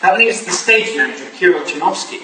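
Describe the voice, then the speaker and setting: Man playing genteel Victorian gentleman, distant and echoey. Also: sits high, animated, a little fast. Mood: puzzled, reasoning. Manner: exclamatory, genteel. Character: affable, slightly flustered Victorian gentleman, a family man. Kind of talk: staged reading of a period stage play. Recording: distant echoey room with clear background noise.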